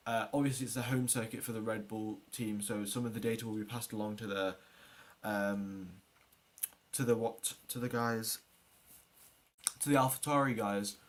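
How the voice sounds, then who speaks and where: A man's voice: lowish voice, fairly even intonation, moderate pace. A man hosting a livestream, chatting casually with viewers and previewing a race in a rambling way, repeating words and often trailing off. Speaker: livestream host.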